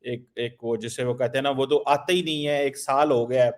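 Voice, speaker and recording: A man's voice: medium pitch, steady tone, a little fast. A man from Pakistan, hosting a political talk show and needling his guest with sarcastic questions. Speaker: host.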